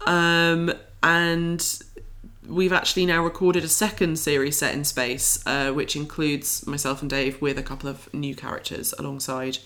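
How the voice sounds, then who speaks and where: A podcast host chatting casually and guiding the discussion in a hesitant, halting way. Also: medium pitch, level tone, bright, forceful tone.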